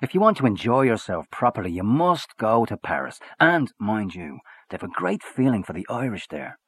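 Man putting on a loud boastful man-about-town. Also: on the low side, sing-song, moderate pace, slightly rough, heavily acted. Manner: expansive, swaggering, back-slapping.

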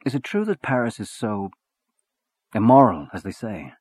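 A man's voice: fairly low pitch, big pitch swings, normal speed, darkish tone, husky, no room sound, studio quality. Man doing a timid, shy young clerk. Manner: hesitant, timid.

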